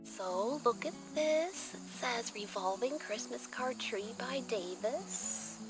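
A woman's voice: fairly high pitch, expressive, slow pace, music in the background. A woman chatting casually and exclaiming as she shows things off in an informal, gushing show-and-tell outdoors. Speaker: vlogger showing thrift finds. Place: outdoors on a patio or deck at a table.

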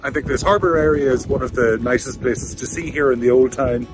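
A man's voice: mid-range voice, brisk, rough recording. A man, chatting wryly and cheerfully as a travel vlogger, filmed on a camera at arm's length outdoors in the rain and inside a campervan. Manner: chatty, wry and upbeat. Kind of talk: casual travel vlog narration. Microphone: handheld camera at arm's length. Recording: music playing underneath.

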